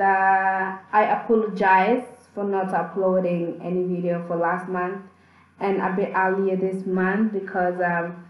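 A woman's voice: medium pitch, muffled recording. A young woman from the Democratic Republic of the Congo, a native Kibembe speaker, chatting upbeat on a webcam vlog in a small plain room, teaching words of her language. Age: young adult. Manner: chatty and upbeat, with fillers and restarts. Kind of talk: casual vlog lesson. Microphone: webcam in front of her. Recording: echoey room.

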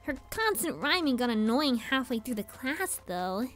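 A scheming little pony girl with a high, swooping voice. She is sassy and quick to argue, like a bratty cartoon villain. Music plays under her. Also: big pitch swings, fairly bright tone. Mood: chatty, confident. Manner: sassy, defensive. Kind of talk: animated-style fan audio drama.

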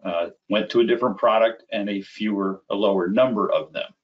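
A middle-aged man giving a conversational, step-by-step software demo as a webinar presenter. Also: fairly low pitch. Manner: conversational, unhurried step-by-step explaining. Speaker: webinar presenter, applications engineer. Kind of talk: live software demo, explanatory. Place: small indoor room at a computer. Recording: slightly dull, band-limited webinar audio.

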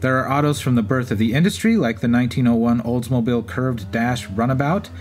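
A man's voice: mid-range voice, a little fast, a little mellow, faint music behind. A man hosting a podcast, reading scripted narration in turns. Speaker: podcast host. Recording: clear background ambience noise. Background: racetrack event ambience.

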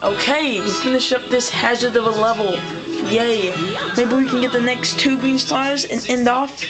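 A hoarse man casually commenting on his gameplay as a let's play host, rambling and breaking into frustrated outbursts. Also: extremely high pitch, steady tone, normal speed. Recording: distant mic over loud game music.

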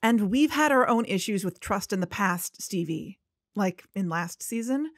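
A woman's voice: mid-range voice, expressive, measured pace, no room sound. A woman playing a guarded, earnest podcast investigator in a conversational audio drama. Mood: doubtful, aggrieved. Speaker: fictional podcast co-host.

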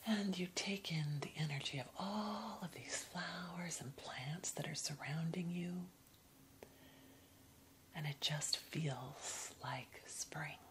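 Whispering woman in slow ASMR meditation. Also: deep voice, poor audio. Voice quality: breathy whisper. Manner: gentle, soothing, unhurried. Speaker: ASMR host guiding a meditation. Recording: clear background noise, ambient hiss.

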